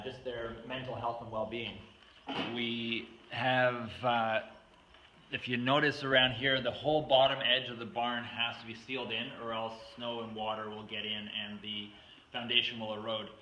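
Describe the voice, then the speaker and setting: Middle-aged man with a bright, forceful voice. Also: medium pitch, highs cut off. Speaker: farm owner appealing for donations. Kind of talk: informal explainer and fundraising appeal to camera. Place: outdoors on a farm beside a wooden barn. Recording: outdoor camera audio with faint noise.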